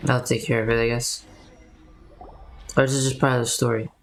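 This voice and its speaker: A woman's voice: very deep voice, level tone, brisk, gravelly, slight background noise. A woman casually commenting and muttering reactions as they stream a story game.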